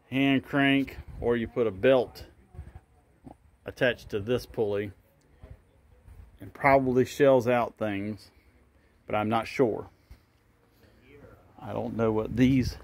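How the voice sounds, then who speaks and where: A man at a middle pitch rambles through a self-filmed tour of an open wooden barn. He thinks aloud and often admits he isn't sure what he's looking at. The sound comes from a handheld phone. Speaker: vlogger giving a walking tour. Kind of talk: casual walk-and-talk narration. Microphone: handheld phone camera.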